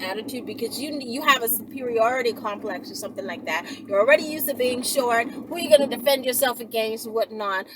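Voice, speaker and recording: A woman vlogging in a casual, chatty storytelling style, blunt and slangy, with animated asides. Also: a little high, background noise. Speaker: vlogger and channel host.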